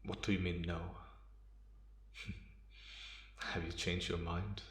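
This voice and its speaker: A man playing a bitter, guarded, centuries-old vampire in a slow ASMR roleplay monologue with measured pauses. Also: deep voice, little pitch movement. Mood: incredulous, guarded. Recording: roomy, echoing sound.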